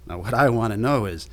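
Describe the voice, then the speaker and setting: A man's voice: lowish voice, lightly acted. An actor in a radio drama playing a blunt, suspicious lawman who presses emphatically for answers. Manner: probing, emphatic.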